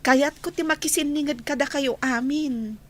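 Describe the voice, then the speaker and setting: A woman with an Ilocano accent playing a friendly, bashful young trainee in a radio drama, sweet and gently hesitant. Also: sits high, moderate pace, close to an everyday voice. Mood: shy, friendly.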